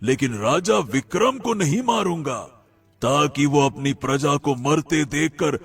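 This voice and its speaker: A man voicing a cruel, boastful demon in an animated moral story, taunting with drawn-out, emphatic menace. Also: fairly high pitch, gravelly, breathy edge, soft background music, heavily acted. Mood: cruel threatening.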